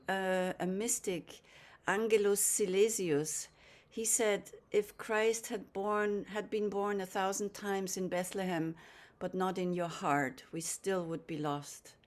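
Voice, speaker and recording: Unhurried woman telling a story. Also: medium pitch. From Austria. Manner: soft-spoken, hesitant with frequent ums. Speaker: guest musician and storyteller. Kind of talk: relaxed storytelling with gentle pauses.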